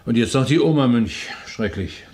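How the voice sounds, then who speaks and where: An actor playing a chatty, awkward older farm manager with a folksy, rambling way of talking in a radio crime drama. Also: male voice, medium pitch, animated, moderate pace, a little mellow, close to an everyday voice. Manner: rambling, folksy, often quotes his wife.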